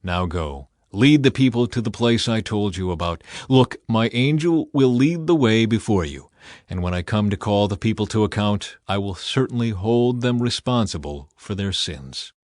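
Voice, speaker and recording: A man's voice: fairly low pitch, lively intonation, moderate pace, darkish tone, no echo, close to an everyday voice. Guy doing god from an audio bible, stern n solemn, commanding, dry close recording. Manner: solemn, authoritative. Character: stern God speaking to Moses, commanding and grave.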